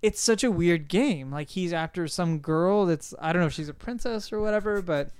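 A man's voice: sits high, moderate pace, a little mellow, clean tone, no room sound. A man co-hosting a casual discussion, explaining his opinions in a rambling way. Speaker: podcast co-host. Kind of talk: casual discussion of video games and films.

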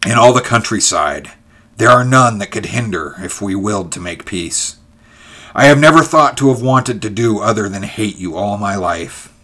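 A man's voice: fairly low pitch, expressive, normal speed, sharp tone, pitched above the performer's own voice. A man voicing an embittered, impatient feudist in a dramatic storybook reading. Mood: earnest. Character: George, embittered male feudist turned grudging friend, impatient.

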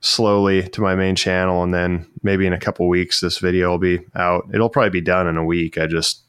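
A man's voice: sits low, level tone, brisk, fairly bright tone, clean tone, no room sound, studio quality. A man from Florida chatting casually on a podcast, rambling and correcting himself as he goes. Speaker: podcast co-host, metalworker. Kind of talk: casual chat.

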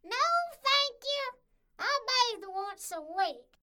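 A woman's voice: extremely high pitch, lively intonation, slow, bright, forceful tone, full character voice. A woman playing a cranky, half-deaf, crude old woman in a comedic audio drama, blunt and confused. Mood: confused, loud.

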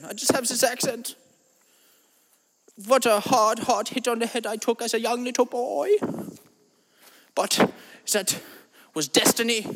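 A man doing a high-voiced German-accented guru. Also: a bit bright, in a large hall, heavily acted. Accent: put-on German accent. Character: eccentric German-accented man, grandiose self-styled spiritual guru. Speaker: comedy podcast host. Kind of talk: improvised comedy monologue in character.

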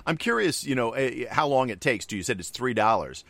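A man's voice: medium pitch, animated, moderate pace, vocal fry, a bit bright, no room sound. A middle-aged man co-hosting a podcast in casual conversation, rambling loosely with many fillers and asides. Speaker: podcast co-host.

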